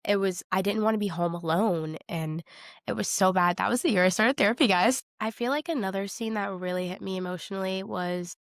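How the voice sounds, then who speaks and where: Creaky-voiced young woman chatting candidly. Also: mid-range voice, a little fast, no echo, clean studio sound. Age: young adult. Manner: candid, informal, youthful slang. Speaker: podcast co-host. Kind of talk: casual film discussion chat.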